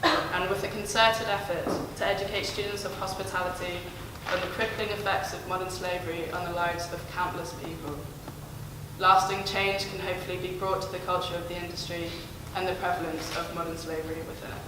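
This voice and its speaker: A woman reads out a formal prepared speech in a steady, measured way. She sounds far from the mic, in a large hall with a long echo. Clear background noise sits under her voice. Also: lowish voice. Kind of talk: prepared speech read aloud. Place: large hall, audience present. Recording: distant, echoey hall with noise.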